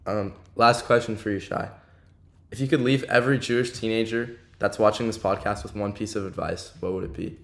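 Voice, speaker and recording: A young man hosting a podcast interview, asking questions in a polite, steady way. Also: fairly low pitch, fairly quick, creaky. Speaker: podcast host.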